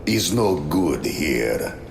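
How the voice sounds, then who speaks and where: A hobbling, spiteful villain's henchman in a fantasy radio drama. His very deep, creaky voice sneers and stretches each contemptuous word, over noisy ambience. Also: big pitch swings, full character voice. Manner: sneering, drawn-out, emphatic. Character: hobbling, spiteful male henchman with crooked stick. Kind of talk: fantasy radio play acting.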